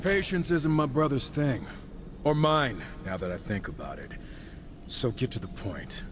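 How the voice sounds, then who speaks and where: A man's voice: medium pitch, expressive, a little slow, noisy background, lightly acted. An actor playing a wisecracking, impatient horseman in a game cutscene, sardonic, cocky and casual. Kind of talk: video game cutscene acting.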